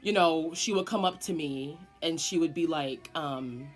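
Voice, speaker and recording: A woman's voice: low-pitched, a little slow, soft background music. An eighteen-year-old woman telling a dramatic storytime to her vlog viewers, swearing freely. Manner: animated, dramatic, swearing often. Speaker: vlogger. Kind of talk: casual storytime.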